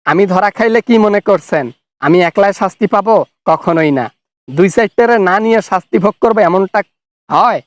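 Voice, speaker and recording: Guy doing a defiant cartoon official on the run, really high voice, accusing and threatening, dry sound. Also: brisk, dry recording, close to an everyday voice. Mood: angry, threatening. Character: angry, defiant fugitive male official, funny cartoon caricature. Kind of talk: comic cartoon dub, phone argument.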